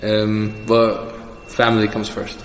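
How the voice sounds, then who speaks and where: Low, even-toned young man in an echoey room. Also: slow pace. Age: young adult. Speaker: interview guest. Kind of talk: casual personal interview. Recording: echoey reverberant room with background noise.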